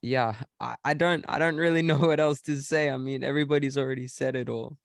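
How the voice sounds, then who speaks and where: A man's voice: medium pitch, steady tone, fairly quick, vocal fry, no room sound, studio quality. A man from Africa, a guest reviewer who talks in a hesitant, thoughtful way. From South Africa. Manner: hesitant, thoughtful, many restarts. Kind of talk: casual video call chat.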